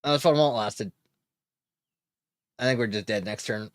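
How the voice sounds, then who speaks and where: A man's voice: fairly low pitch, normal speed, no echo. Male streamer, casual play-by-play, dry close sound, talks himself through each turn. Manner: thinks aloud, chuckles, cheers. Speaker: streamer playing a card game. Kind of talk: casual gameplay commentary.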